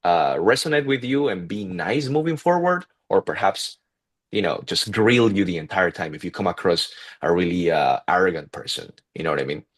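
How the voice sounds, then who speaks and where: A young man with a heavy Peruvian accent and a very low voice speaks as an interview guest. He talks haltingly, piling up fillers and restarting his sentences as he goes. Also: animated. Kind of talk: casual interview talk.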